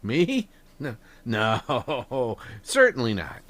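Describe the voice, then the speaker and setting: An actor playing a nervous, joking detective's sidekick in 1930s radio drama style. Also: male voice, mid-range voice, wildly swooping pitch, drawn-out words, a bit bright, heavily acted. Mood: jokey, nervous.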